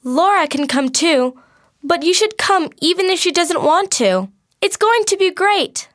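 A woman reading a storybook, voicing an outspoken, fiery teenage girl at summer camp with quick, emphatic lines. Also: very high-pitched, animated, pitched above the performer's own voice. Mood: eager.

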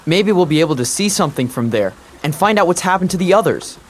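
Woman voices cautious teen boy, slightly lowered, storybook. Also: animated, fast talker, a little hiss or hum, natural voice. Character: cautious teenage boy rafter.

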